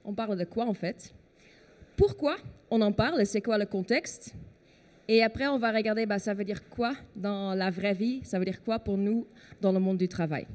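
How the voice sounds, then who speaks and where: Dutch woman speaking French, mellow voice. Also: medium pitch, normal speed. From Netherlands. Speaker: conference speaker. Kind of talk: conference talk. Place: trade fair stage.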